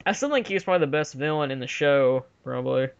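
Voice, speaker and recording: A man's voice: fairly high pitch, expressive, fast, gravelly, clear, resonant tone. A young man hosting a casual fan movie commentary, rambling and giving his opinions in an offhand chat. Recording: slightly dull lo-fi home recording.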